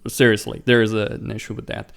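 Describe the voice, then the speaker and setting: A man's voice: fairly low pitch, little pitch movement, fast, gravelly. A man hosting a let's play, reading visual novel dialogue aloud and adding casual commentary, stumbling and correcting himself as he goes. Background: game music.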